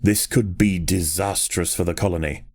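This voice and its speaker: A man narrating a strategy game in the style of a nature documentary, with a measured, grave and dramatic storytelling delivery. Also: deep voice, steady tone, darkish tone.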